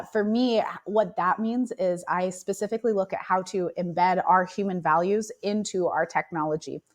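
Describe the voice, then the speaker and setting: A woman guest with a cold and a raspy voice, explaining her field in a thoughtful, casual interview. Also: on the low side. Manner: thoughtful, explanatory, laughs easily. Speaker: AI ethicist guest.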